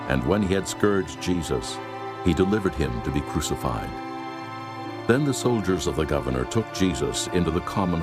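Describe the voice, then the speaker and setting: A man narrating dramatized scripture in a solemn, measured manner. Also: very deep voice. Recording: prominent music behind the voice.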